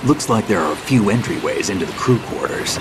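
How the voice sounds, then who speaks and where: A man playing a gruff, gravelly middle-aged soldier in a video game, terse, deliberate and wary, with military crispness. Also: on the low side, expressive, heavy background noise, close to an everyday voice. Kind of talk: dramatic video game acting.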